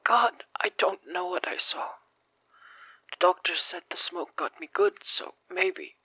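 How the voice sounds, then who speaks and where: Hoarse, shaken fire survivor. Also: female voice, on the low side, sharp tone, dry recording, full character voice, poor audio. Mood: wary, defensive. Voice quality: hoarse, breathy. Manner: halting, apologetic, reluctant. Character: shaken, hesitant fire survivor recounting something strange. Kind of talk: audio horror drama acting.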